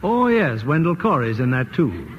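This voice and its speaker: A man's voice: medium pitch, very animated, moderate pace. A man hosting a radio theater show, introducing guests and reading a sponsor message in a polished announcer manner. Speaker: radio host. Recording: muffled old radio broadcast with faint hiss.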